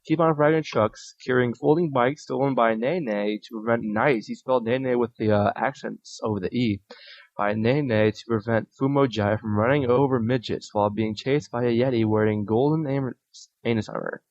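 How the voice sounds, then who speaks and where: A man hosting a podcast, reading listener submissions aloud in a steady, plain way with small restarts. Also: mid-range voice, moderate pace, no room sound. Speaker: podcast host. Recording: dull lo-fi audio.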